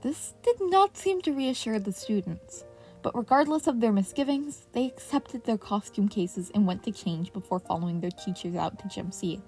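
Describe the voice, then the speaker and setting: Casting: female story narrator, medium pitch, faint piano music behind her scripted reading. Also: animated, normal speed. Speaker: podfic narrator. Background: soft piano music.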